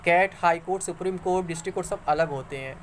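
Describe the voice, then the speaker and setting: A man's voice: high-pitched, fast talker, a little hiss or hum. A man giving informal explanatory commentary to viewers, conversational and argumentative, repeating points for emphasis. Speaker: exam news YouTuber.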